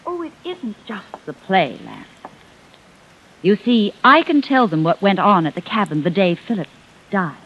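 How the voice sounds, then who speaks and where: A woman's voice: medium pitch, big pitch swings, measured pace, husky, full character voice. An actress playing a proud, stubborn woman in a 1950s radio drama, cool, cutting and threatening. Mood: accusing. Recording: muffled vintage radio recording.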